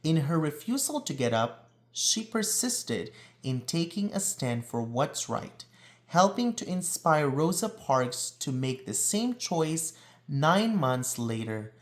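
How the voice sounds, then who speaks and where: A man reading a children's picture book aloud in an expressive, emphatic storytelling manner. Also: fairly high pitch, slow pace. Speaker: read-aloud presenter.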